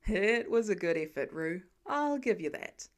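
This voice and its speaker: A woman's voice: mid-range voice, expressive, a little slow, pitched below the performer's own voice, natural voice. A woman voicing a playful, dryly teasing adult man, a grumbling dad, in a fiction audiobook. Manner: playful, dry, wheedling.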